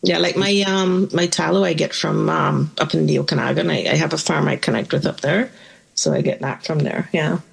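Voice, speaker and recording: Husky, gravelly woman, relaxed chat. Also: low-pitched, fairly quick, low-quality recording. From Canada and Jamaica. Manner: relaxed, chatty, laughs easily, uses fillers. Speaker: guest, small business owner. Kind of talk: radio interview.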